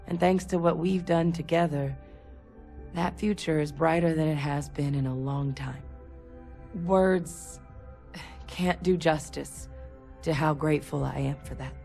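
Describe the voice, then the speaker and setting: A woman playing a sincere, resolute soldier in a video game, speaking in an earnest, reflective, measured way. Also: sits low, vocal fry, a little mellow. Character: sincere, resolute female soldier haunted by her father. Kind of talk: dramatic video game dialogue.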